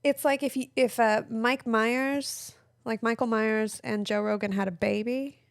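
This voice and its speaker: A woman's voice: a little high, fairly even intonation, unhurried, no echo, clean studio sound. A woman co-hosting a podcast, chatting casually with playful interjections. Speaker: podcast co-host. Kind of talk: casual chat.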